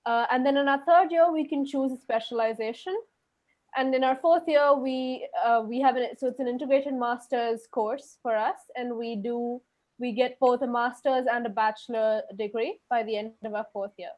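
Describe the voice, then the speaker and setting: A woman's voice: a little high, fairly even intonation, normal speed, dull audio. A young woman from India, a student panelist, giving a webinar talk with many hesitant fillers. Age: young adult. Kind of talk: webinar presentation.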